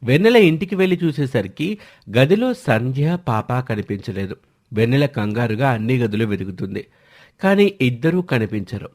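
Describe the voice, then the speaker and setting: Animated male story narrator. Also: mid-range voice, normal speed.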